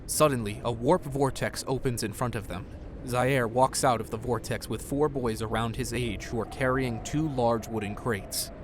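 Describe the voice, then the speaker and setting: A man's voice: mid-range voice, steady tone, moderate pace, background noise. Narrator: man, mid-range voice, scripted audio drama storytelling, slight background ambience.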